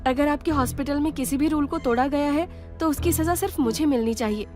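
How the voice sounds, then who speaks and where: An actress as an earnest, high-voiced younger sister. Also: female voice, a little fast, darkish tone, close to an everyday voice. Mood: remorseful, pleading. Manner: pleading, polite, emotional. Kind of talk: Hindi audio drama acting. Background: music.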